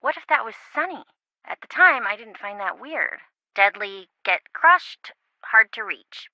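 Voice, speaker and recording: A woman voicing a quirky, sarcastic, excitable young reality-show contestant in a video game, with playful theatrical quips. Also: a little high, unhurried, no echo, dull audio, full character voice. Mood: wry, chatty.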